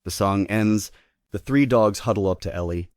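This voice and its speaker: Man, low pitch, scripted narration, stage directions, dry close sound, table read. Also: moderate pace, a little mellow, dry recording.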